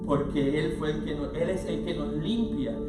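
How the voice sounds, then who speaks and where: A pastor preaching a sermon, swelling from quiet passages into loud, sung-out lines, with music playing underneath. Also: male voice, fairly high pitch, moderate pace, roomy sound, far from the mic.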